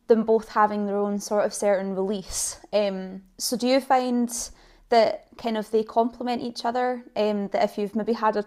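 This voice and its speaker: A woman's voice: medium pitch, a little mellow. A young woman from Edinburgh hosting a podcast, chatty, with lots of likes and kind-ofs. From Edinburgh, Scotland. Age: young adult. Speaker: podcast host. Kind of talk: casual interview.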